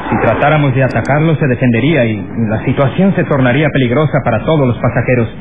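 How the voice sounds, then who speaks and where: An actor playing a firm, cautious airline captain in a radio serial, authoritative and deliberate, on a scratchy, tinny old recording. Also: male voice, medium pitch, close to an everyday voice. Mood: stern command.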